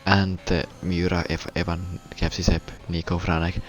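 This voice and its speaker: A Finnish man commentating a sim race in English, a second language for him, with a halting, stuttering delivery. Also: sits low, little pitch movement, faint music behind. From Finland. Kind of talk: live sim racing commentary.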